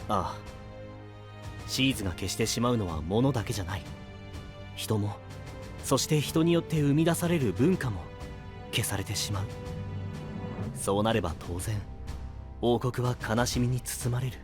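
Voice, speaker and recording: A male actor plays a composed, serious young knight in an anime audio drama. His medium-pitched voice is calm and matter-of-fact, with clear music underneath. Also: measured pace, pauses often, natural voice. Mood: grave.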